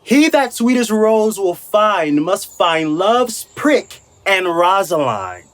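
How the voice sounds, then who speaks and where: A woman's voice: mid-range voice, sing-song, slow. A woman playing a mocking, bawdy and witty court fool in a Shakespearean radio comedy. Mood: teasing. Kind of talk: Shakespearean comic acting for radio play. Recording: faint background noise.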